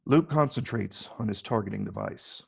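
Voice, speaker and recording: A man with a low, gravelly voice reading screenplay stage directions as the narrator. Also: normal speed.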